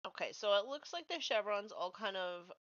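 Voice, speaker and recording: A woman's voice: mid-range voice, animated, moderate pace, fairly bright tone, no room sound. A woman streaming as she paints miniatures, chatting casually and thinking aloud as she works, a little nasal from a cold. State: has a cold, a bit nasal. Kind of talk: casual chat while painting. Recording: dull, slightly lo-fi stream audio.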